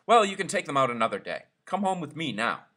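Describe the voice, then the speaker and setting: A man's voice: fairly high pitch, big pitch swings, fast talker, pushed and bright, pitched above the performer's own voice, exaggerated voice. A man voicing an eager, vain, ingratiating headmaster in an effusive, pressing way for a storybook reading.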